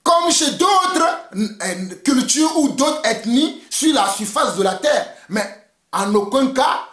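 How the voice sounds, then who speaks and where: High-voiced fiery male preacher. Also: expressive, slow pace, fairly bright tone, roomy sound. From Togo. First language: Mina. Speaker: pastor. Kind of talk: preaching sermon.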